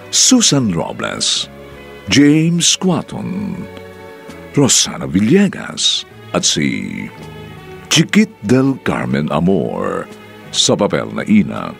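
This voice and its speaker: A man's voice: low-pitched, vocal fry. A man with a Filipino accent giving theatrical scripted narration as a radio drama announcer. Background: music.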